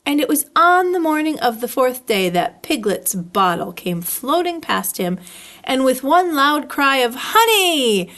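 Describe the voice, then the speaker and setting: Animated woman reading a children's story. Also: sits high, measured pace. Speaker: library storyteller.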